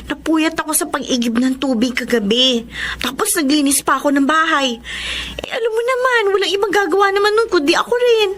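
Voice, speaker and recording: A woman's voice: sits high, expressive, fairly quick, a bit bright. A woman playing an earnest, proud young saleslady in a radio drama, with a Filipino accent. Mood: worried. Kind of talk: Filipino radio drama acting and first-person narration. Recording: music under the voice.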